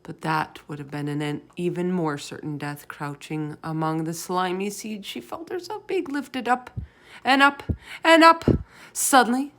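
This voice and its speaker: A woman storyteller reading a children's book aloud in expressive narration, with dramatic, drawn-out emphasis. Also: lowish voice.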